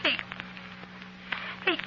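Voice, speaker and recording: A woman playing a distraught, naive young waitress in a 1940s radio drama, speaking in a tearful, halting, pleading way. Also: pushed and bright, full character voice. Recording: dull, noisy vintage radio recording.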